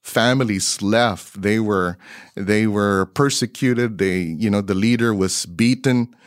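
A man recounting an anecdote as an interview guest, halting and repeating words as he searches for the next one. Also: fairly low pitch, dry recording, studio quality. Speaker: guest, mission broadcaster. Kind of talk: conversational anecdote.

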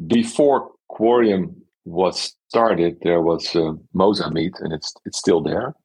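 A man with a husky voice, explaining examples conversationally as an investor being interviewed. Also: low-pitched, darkish tone, low-quality recording. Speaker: interview guest, venture investor.

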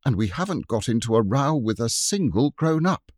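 A man voicing a gentle, thoughtful girl speaking earnestly. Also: medium pitch, very animated, moderate pace, dry recording, studio quality, pitched above the performer's own voice.

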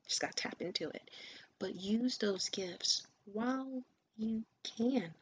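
A woman's voice: a little high, takes it slowly. A woman podcast host and coach giving an earnest, encouraging motivational talk, often dropping her voice to a near whisper. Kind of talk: motivational monologue. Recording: dull lo-fi sound with faint ambient noise.

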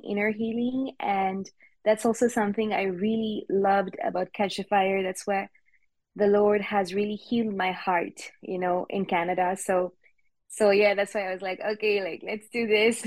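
A woman's voice: mid-range voice, dry recording. A woman from Switzerland whose first language is French, speaking English with a French accent as a guest pastor on a podcast. She laughs often and hesitates with many ums. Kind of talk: casual interview chat.

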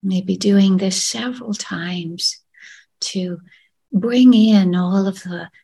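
A woman leading a gentle guided meditation in a soft, soothing, breathy voice that often trails into a whisper. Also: mid-range voice, takes it slowly. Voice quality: breathy, husky.

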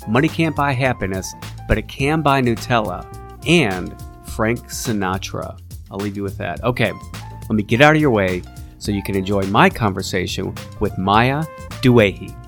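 Low-voiced, jokey male podcast host. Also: lively intonation, moderate pace, vocal fry, fairly bright tone, soft background music. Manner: jokey, self-deprecating banter. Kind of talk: interview podcast chat. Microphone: remote call.

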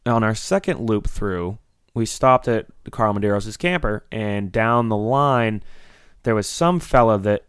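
Slightly raspy young man telling a rambling story. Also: on the low side, a little slow, dry recording, clean studio sound. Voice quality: a little raspy. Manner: rambling, animated storytelling with frequent fillers. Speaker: podcast co-host. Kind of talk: casual storytelling chat.

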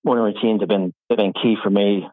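A podcast host talks quickly and casually, with a lowish man's voice. His loose, chatty speech is full of "like". The sound is thin and phone-like, and dry. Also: dry recording. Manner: relaxed, conversational, many "like" fillers. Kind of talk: casual interview chat. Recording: tinny phone-like line.

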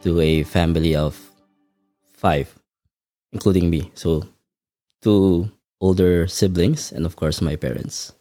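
A man answers questions in a measured, thoughtful way, pausing often to gather his words. His voice is very deep and mellow. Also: fairly even intonation, dry recording, faint music behind. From Philippines. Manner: measured, thoughtful, frequent ums. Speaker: podcast guest. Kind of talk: conversational interview.

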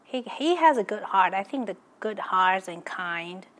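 A woman's voice: mid-range voice, expressive, a little slow, a bit bright. A woman from Taiwan with a Taiwanese accent, answering interview questions cheerfully in simple English. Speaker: guest.

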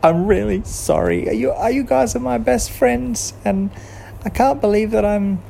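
A man voicing a remorseful young cat in a bedtime story, high and pleading. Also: very animated, moderate pace, creaky, dark tone, a little hiss or hum, full character voice. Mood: tearful. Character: remorseful young cat who has been naughty.

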